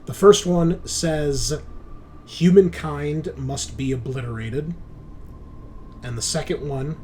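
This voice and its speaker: A man's voice: a little high, slow pace, warm tone. A man narrating a tabletop roleplaying game as game master, explaining the setting in a conversational way. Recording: clearly noisy background.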